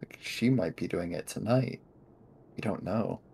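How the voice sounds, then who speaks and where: A man's voice: low voice, expressive, a little slow, vocal fry, warm tone, slight background noise, heavily acted. A man playing a cautious, practical schoolboy investigator in an improvised tabletop game, hesitant and understated. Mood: cautious concern.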